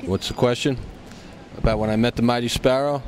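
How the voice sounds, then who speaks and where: A man giving a casual interview in a halting way, often stopping and starting mid-phrase. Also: medium pitch, fairly even intonation, slight background noise. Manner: halting, hesitant phrasing with frequent stops. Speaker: actor being interviewed.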